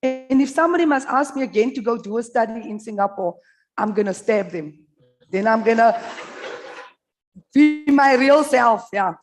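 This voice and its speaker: A woman's voice: on the high side, lively intonation, moderate pace, faint music behind, low-quality recording. A South African woman speaking at a conference, blunt and humorous, talking straight to the room. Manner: blunt, humorous, addresses the audience directly. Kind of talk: candid conference presentation.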